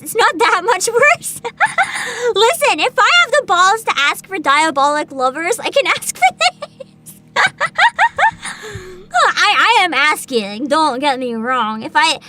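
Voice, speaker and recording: A woman chatting casually to viewers as a livestream host, with a giggly, expressive manner full of interjections. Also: very high-pitched.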